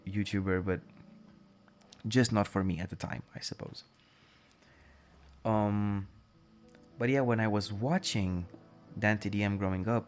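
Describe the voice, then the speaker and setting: A young man with a lowish voice talks in non-native English with a British lean. He rambles loosely, correcting himself and hedging as he goes, with faint music under him. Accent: non-native English with British leanings. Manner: rambling, self-correcting, lots of hedging asides. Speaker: podcast host. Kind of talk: rambling solo monologue.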